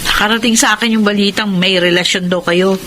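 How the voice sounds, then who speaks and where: A woman's voice: mid-range voice, fairly even intonation, fairly quick, bright, forceful tone, a little hiss or hum, lightly acted. A woman playing a concerned, moralizing younger sister in an emotional, pleading radio drama style. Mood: reproachful. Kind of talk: Filipino radio drama acting.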